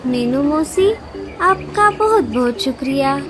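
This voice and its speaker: High, gentle, careworn mother bird voiced by a woman. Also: expressive, slow pace. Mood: grateful. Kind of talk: animated children's story dialogue.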